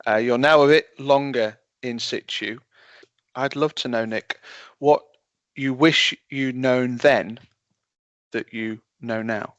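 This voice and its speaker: A man hosting an interview podcast, chatting in a friendly way and trailing off quietly at the ends of phrases. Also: medium pitch, takes it slowly, no room sound. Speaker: podcast host. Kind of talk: conversational interview. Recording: dull, dry lo-fi sound.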